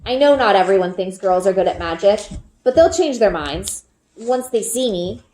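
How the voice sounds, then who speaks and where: A woman reading a children's book aloud as an expressive storyteller, narrating from the text. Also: medium pitch, normal speed. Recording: roomy indoor echo.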